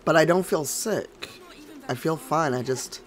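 A man's voice: on the high side, measured pace, a little hiss or hum. A man streaming a game, congested and coughing, making wry grumbling asides. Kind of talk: casual gameplay commentary.

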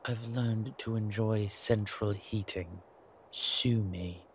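Over clear background ambience comes a man acting as a centuries-old male vampire in an audio roleplay. He is suave, wry and protective, with a lowish voice. He talks very slowly, leaving theatrical pauses as he addresses his companion. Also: steady tone, a little hiss or hum. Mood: wry, grumbling. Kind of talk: scripted roleplay audio drama addressed to the listener.

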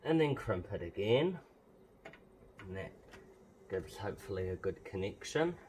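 A man's voice: deep voice, very animated, measured pace, background noise. A man giving offhand, casual DIY commentary, talking through each step as he works on a car. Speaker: host of a car modification vlog. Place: outdoors beside a car's open bonnet.

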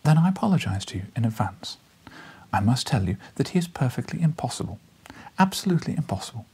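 A man voicing a small, vivacious, anxious wife in an audiobook, giving urgent, brisk warnings. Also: medium pitch, very animated, creaky, darkish tone, slightly rough, heavily acted.

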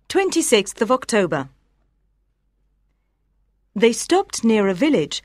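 A woman's voice: mid-range voice, no room sound, clean studio sound. A woman reading scripted story narration for language learners as an audiobook narrator.

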